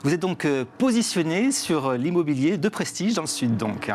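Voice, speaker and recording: A man hosting an interview, asking brisk questions. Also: fairly high pitch, big pitch swings, darkish tone, a little hiss or hum. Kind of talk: interviewing a guest.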